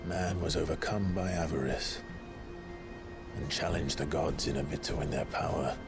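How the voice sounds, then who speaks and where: An actor playing a brooding, resolute outlaw leader in a video game, grave and measured. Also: male voice, rumbling low, steady tone, creaky, over music. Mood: reflective.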